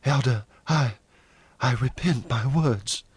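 A male narrator acts out a tender young woman from a western storybook. She pleads anxiously, her courage faltering. The voice is husky and closely recorded, with little room sound. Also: medium pitch, sing-song, takes it slowly, a little mellow, no echo, full character voice. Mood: pleading, faltering. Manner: imploring, faltering. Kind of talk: audiobook character dialogue in a western.

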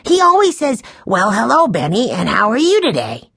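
A woman's voice: mid-range voice, huge pitch swings, normal speed, creaky, fairly bright tone, slightly rough, no room sound, studio quality, voice pushed up, full character voice. A woman voicing a worried, eager little boy in a children's audiobook, blunt and exclaiming. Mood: agitated, emphatic.

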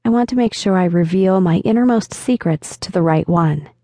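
A woman narrator voicing a flirtatious, self-assured man in an audiobook, with smooth, teasing delivery. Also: lowish voice, moderate pace, dark tone, no room sound, clean studio sound, natural voice. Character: flirtatious confident man chatting online. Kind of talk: audiobook dialogue reading.

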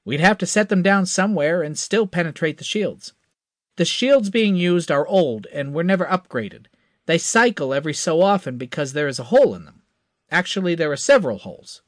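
A man voicing Crash, an excited, slightly nervous young tech whiz. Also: no echo, clean studio sound, natural voice. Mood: eager. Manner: excited, quick, pitched up.

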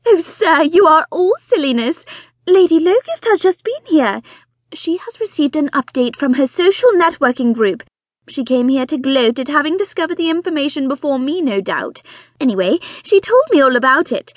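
A high, loud, shrill mother, fussy and excitable, gushing with impatience, as a female narrator puts her on. Her voice leaps up and down all the time, in a dry, close-miked storybook reading. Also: normal speed, dry recording. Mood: excited, gushing. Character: excitable fussy middle-aged mother, matchmaking wife.

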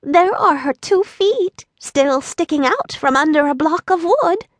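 A woman voicing a tiny, kindly old woman with a sweet voice who speaks slowly and courteously. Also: extremely high pitch, no echo, voice pushed up. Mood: calm. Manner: slow, courteous, calm.

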